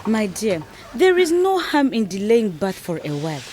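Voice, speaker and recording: A woman's voice: mid-range voice, sing-song, moderate pace, dark tone, a little hiss or hum, lightly acted. A woman playing a determined, persuasive young wife in an educational radio drama, advising her friend with assured emphasis. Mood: resolute.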